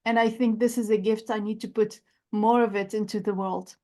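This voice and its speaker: A woman's voice: mid-range voice, steady tone, fairly quick, mellow. A woman whose first language is Arabic, speaking as a coach in a podcast interview, reflective and searching for words. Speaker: guest coach.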